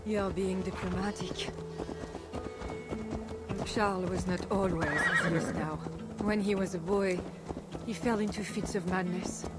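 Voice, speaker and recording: A woman playing a dignified, guarded and pious medieval Frankish queen in measured, formal video game dialogue. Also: medium pitch, steady tone, close to an everyday voice. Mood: wistful, guarded. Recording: music behind the voice.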